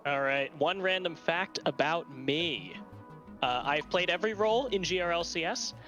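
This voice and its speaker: A man's voice: on the high side, moderate pace, pushed and bright, highs cut off, faint music behind. A man who is an esports caster, trading wisecracks and dry jokes in casual stream banter.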